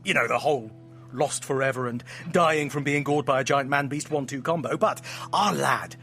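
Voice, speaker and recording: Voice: mid-range voice, moderate pace, fairly bright tone, soft background music, natural voice. An actor in an audio drama playing a playful, theatrical storyteller who narrates with grand melodrama and teasing asides. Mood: mock-grand. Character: playful, theatrical storyteller friend spinning a myth at home.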